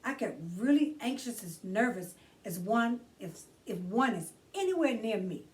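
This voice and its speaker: Woman, children's storytime host, higher-pitched voice, very lively intonation, theatrical read-aloud, recorded at a distance from the mic. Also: measured pace. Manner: theatrical, addresses child listeners directly.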